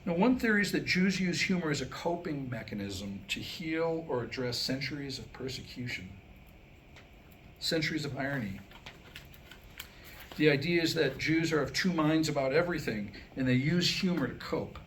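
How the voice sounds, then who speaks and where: Higher-voiced man telling jokes, noisy live recording. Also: lively intonation, fairly bright tone. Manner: storytelling, deliberate pauses, acting out dialogue in jokes. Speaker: humorist and author. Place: indoor room, live staged reading.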